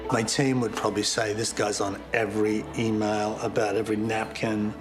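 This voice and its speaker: A man with a low voice talks about himself in a reflective documentary interview. Music plays clearly underneath him as he speaks. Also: little pitch movement, moderate pace.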